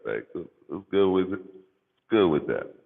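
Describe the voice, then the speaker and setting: American man, rock-bottom bassy pitch, gravelly fry, slangy casual livestream chat, repeats himself for emphasis, boomy reverb. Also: animated, takes it slowly. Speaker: content creator, livestream host. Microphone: microphone with bass turned up. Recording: boomy hall-like reverb, muffled narrow-band sound.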